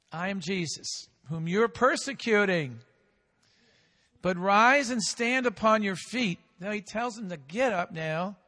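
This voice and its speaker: A male pastor preaching a sermon with call-and-response, swinging between loud and hushed, drawing out key phrases slowly. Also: sits high, big-room sound.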